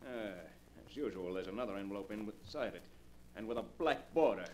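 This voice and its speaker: A man's voice: on the low side, expressive, brisk, a little mellow, close to an everyday voice. An American man playing a paranoid, imperious businessman with a violent streak in 1940s radio drama style. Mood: coldly menacing. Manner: imperious, menacing. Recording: noisy old 1940s radio recording.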